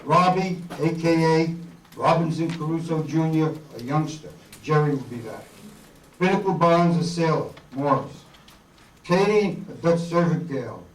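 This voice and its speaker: High-voiced man announcing from far off. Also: lively intonation, measured pace. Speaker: announcer introducing the program and cast. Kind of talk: reading out program notes. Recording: roomy, distant mic, faint noise.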